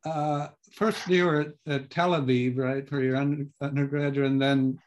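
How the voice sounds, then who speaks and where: An older man hosting an interview over a video call, asking questions slowly and pausing to find his words. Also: mid-range voice, flat delivery, lo-fi sound. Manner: slow, searching for words while asking questions. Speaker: podcast host. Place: home office with bookshelves.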